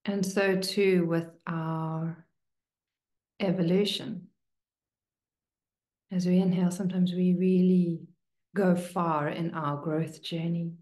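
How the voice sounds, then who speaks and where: Slow, hushed woman guiding meditation, roomy sound. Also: lowish voice, fairly even intonation. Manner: hushed, soothing, with long pauses between short phrases. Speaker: meditation teacher. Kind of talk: guided meditation, slow and spoken to listeners.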